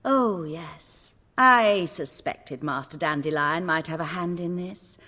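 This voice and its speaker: An actress playing a genteel, gracious woman of the theatre in a video game, expressive and courtly, with a husky voice and long reverb. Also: low-pitched, takes it slowly, full character voice. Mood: gracious, playful.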